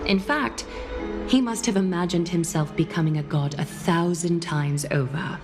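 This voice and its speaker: A grave, stately female ruler from a fantasy video game, acted by a woman. Her voice sits a little low and her delivery is formal and deliberate. Music sounds behind her. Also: natural voice. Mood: probing, stately. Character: regal, composed female leader, grave and knowing.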